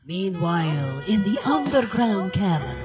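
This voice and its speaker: Pompous mad-scientist horror host played by a man, slow theatrical delivery, dull sound over music. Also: high voice, very animated, full character voice. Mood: mock-dramatic. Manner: theatrical, sardonic. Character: pompous campy mad-scientist doctor hosting horror movies. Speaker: horror show host and narrator. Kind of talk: campy mock-horror narration.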